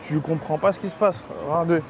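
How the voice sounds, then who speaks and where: A young man from France vlogging his walk through busy city streets, talking to his viewers in excitable, slangy speech. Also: on the high side, brisk, background noise. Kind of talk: casual travel vlog talk. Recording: music mixed under the voice.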